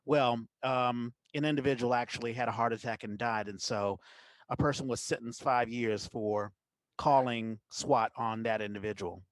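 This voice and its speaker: A man hosting a podcast, chatting casually about the news in a conversational, somewhat halting way. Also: medium pitch, fairly even intonation, no echo, poor audio. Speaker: podcast host.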